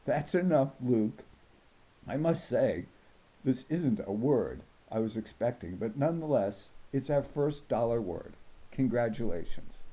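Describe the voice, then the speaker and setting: A man voicing a patient, fair male schoolteacher with a measured, gently correcting manner in a storybook. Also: mid-range voice, animated, rough recording. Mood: approving.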